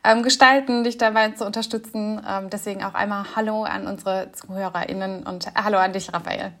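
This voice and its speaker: A woman's voice: medium pitch, fairly even intonation, fairly quick, fairly bright tone. A woman co-hosting a podcast, interviewing in a friendly, polite and appreciative conversational way. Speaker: podcast co-host.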